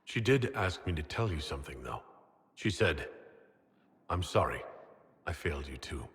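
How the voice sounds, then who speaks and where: An actor playing a resolute leader in a fantasy video game, speaking firmly and formally in measured phrases. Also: male voice, very deep voice, big pitch swings, a bit bright, breathy edge, exaggerated voice. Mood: gentle, solemn. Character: commanding, resolute young leader of a holy war effort.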